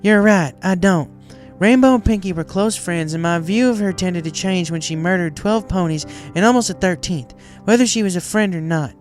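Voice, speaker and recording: Woman as a blunt, high-voiced country farm mare. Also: moderate pace, hardly any pauses, soft background music, lightly acted. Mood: firm, upset. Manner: folksy, forceful, exasperated. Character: blunt, protective female country farm pony. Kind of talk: dramatized fan fiction reading.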